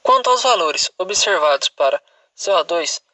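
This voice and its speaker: A Brazilian man reads a formal academic text aloud in a bright, slightly high voice. His delivery is recited, like a student presenting, with the odd stumble. The sound is dry and close, with little room in it. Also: lively intonation, moderate pace, dry recording, poor audio.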